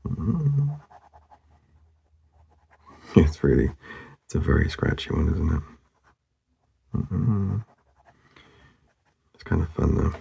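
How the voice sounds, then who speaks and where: Super croaky guy mumbling along while he doodles, mellow and easygoing, kinda trails off. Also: extremely low pitch, sing-song, slow pace, pauses often. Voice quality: very gravelly, husky. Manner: laid-back, murmuring, trailing off. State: losing his voice, hoarse. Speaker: artist hosting a drawing channel. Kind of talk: casual narration while drawing.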